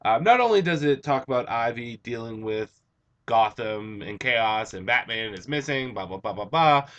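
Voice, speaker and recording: Hoarse, raspy young guy for an eager, rambling comic review on a webcam. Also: medium pitch, animated, normal speed, fairly bright tone, highs cut off. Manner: enthusiastic, chatty, with frequent um and uh. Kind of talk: casual video review. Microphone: computer webcam mic.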